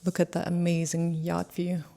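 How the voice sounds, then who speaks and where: Low-voiced enthusiastic woman hosting. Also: completely flat delivery, normal speed, vocal fry, mellow. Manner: enthusiastic, admiring. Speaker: host and interviewer. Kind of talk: casual vlog commentary and interviewing.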